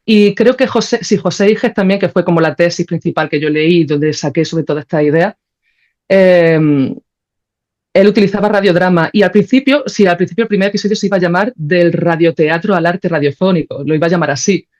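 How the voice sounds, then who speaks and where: A woman's voice: lowish voice, fairly quick, darkish tone. Dull-sounding woman explaining in conversation. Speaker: podcast guest, sound creator. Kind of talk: conversational interview answers, explanatory.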